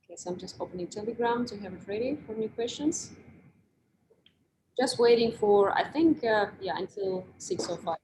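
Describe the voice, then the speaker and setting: A woman's voice: medium pitch, normal speed, mellow. A woman moderates an online talk over a video call, upbeat as she switches between English and Russian. Her voice rings in an echoey room, with faint background noise underneath. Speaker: host and moderator.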